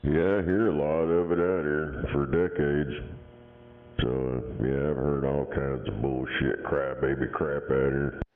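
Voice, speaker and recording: Deep-voiced man over a tinny radio. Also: fairly even intonation, a little slow, a little hiss or hum. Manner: crude, combative, mocking. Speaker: CB radio talker. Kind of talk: crude radio banter. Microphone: radio handset.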